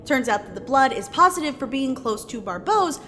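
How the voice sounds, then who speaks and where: A woman's voice: expressive, brisk, pushed and bright. A woman playing a dry, skeptical forensic investigator in an audio drama, with reverb and faint noise. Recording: long reverb, faint low rumbling noise.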